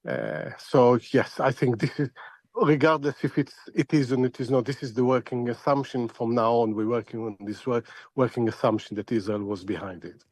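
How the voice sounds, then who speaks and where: Halting, deliberate male expert analyst, dry sound. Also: mid-range voice, steady tone, darkish tone, dry recording. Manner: halting, deliberate, repeating words as he thinks. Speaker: expert analyst, interview guest. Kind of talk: spoken analysis in a news interview.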